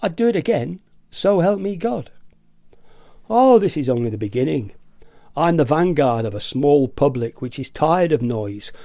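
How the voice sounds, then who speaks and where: Casting: male actor as smugly defiant eccentric man, higher-pitched, theatrical radio-play monologue, close dry sound. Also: very animated, normal speed, warm tone, no room sound. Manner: theatrical, emphatic, mimics nagging voices. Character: indignant, eccentric male rebel against noisy gadgets, smugly defiant. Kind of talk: radio play monologue, amateur dramatic reading.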